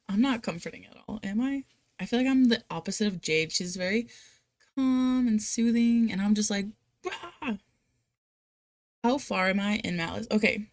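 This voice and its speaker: A woman's voice: mid-range voice, fairly quick, dry recording. A woman with a Rhode Island accent hosting a casual live chat, talking in a chatty, self-deprecating, giggly and playfully dramatic way. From United States, Rhode Island. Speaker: live stream host of reading sprints.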